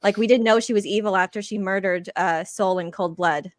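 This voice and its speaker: A woman's voice: mid-range voice, brisk, no echo. Sarcastic woman in casual chat, dry sound. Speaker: show panelist. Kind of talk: casual critical fan chat.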